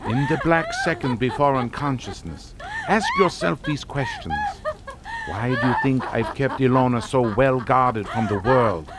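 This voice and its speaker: An actor playing a menacing, scornful older Hungarian count in a radio suspense drama, speaking in a formal, taunting, theatrical manner. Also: male voice, mid-range voice, huge pitch swings, unhurried, very noisy. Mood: impassioned.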